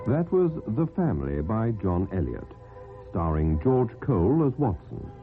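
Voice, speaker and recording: A man reading radio drama credits as an announcer, formal and measured. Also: fairly low pitch, huge pitch swings. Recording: muffled old radio recording. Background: music.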